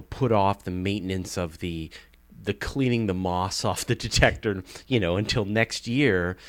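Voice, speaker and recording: Casual, rambling man podcast host. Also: on the low side. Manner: rambling, casual, uses "you know" and "like". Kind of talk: casual conversational chat.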